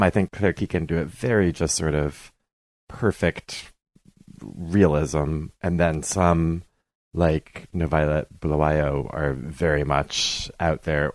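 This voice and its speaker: A man's voice: very deep voice, no room sound. A man speaking as a podcast guest, discussing books casually with hesitant, restarting phrasing.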